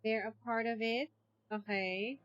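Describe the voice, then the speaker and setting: Slow-talking Filipino woman streaming. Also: fairly high pitch, steady tone, fairly bright tone, lo-fi sound. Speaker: game streamer. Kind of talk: casual live commentary. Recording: game music behind.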